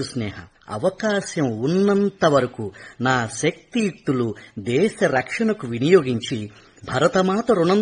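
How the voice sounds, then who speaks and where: A man's voice: fairly high pitch, slightly rough, exaggerated voice. A man playing an earnest retired soldier. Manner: earnest, persuasive with his wife. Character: retired male soldier eager to serve again. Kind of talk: radio drama acting.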